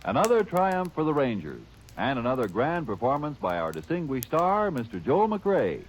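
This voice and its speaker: A man's voice: medium pitch, huge pitch swings, normal speed. A man announcing a radio sponsor message in a chatty, persuasive way. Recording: old radio broadcast, faint hiss.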